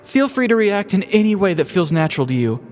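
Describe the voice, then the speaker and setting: Man putting on a very high-pitched gushing woman. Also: very animated, fast, a little mellow, in a large hall. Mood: eager. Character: breathy, infatuated young woman defending her steamy novel.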